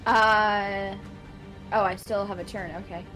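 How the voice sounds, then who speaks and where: A woman at a medium pitch talks slowly through her moves in a tabletop game. She thinks aloud casually and hesitantly, with music playing clearly behind her. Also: steady tone, fairly bright tone. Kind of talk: casual unscripted game play chat.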